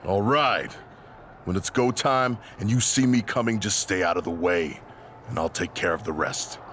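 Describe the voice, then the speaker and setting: A deep-voiced, scheming wrestler in a video game cutscene. He is blunt and terse, with cool self-assurance, over clearly noisy ambience. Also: moderate pace, a bit bright. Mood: blunt, assured.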